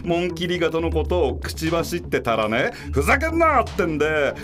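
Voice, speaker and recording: A high-pitched, swooping voice over clear background music. a flighty, camp business consultant in a comic radio drama, cutesy and chatty, breezing through everything. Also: male voice, very animated, normal speed, a bit bright. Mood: breezy. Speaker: actor in an audio drama. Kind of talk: comedic radio drama acting.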